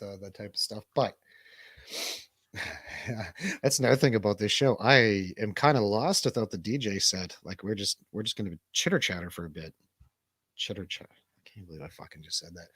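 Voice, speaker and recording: Guy with a lowish voice doing a rambly sweary radio stream, jokey, close dry sound. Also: fairly bright tone, slightly rough, no echo. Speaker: radio show host on a livestream. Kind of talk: casual comedic chat.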